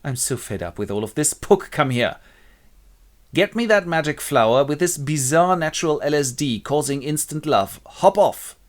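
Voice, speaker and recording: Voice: mid-range voice, animated, normal speed, lightly acted. A performer voicing a fed-up, bossy elf queen in a comic retelling of a play.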